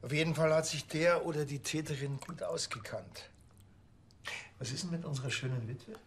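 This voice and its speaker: A man's voice: fairly high pitch, normal speed, slightly rough. A man, playing a sardonic, laid-back village cop in a TV crime comedy who makes dry, mocking remarks.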